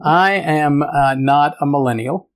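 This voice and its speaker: A middle-aged man with a raspy voice, casually commenting on a list of points about tiny homes, with many fillers and restarts. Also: fairly high pitch, unhurried. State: raspy voice today. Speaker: tiny home vlogger.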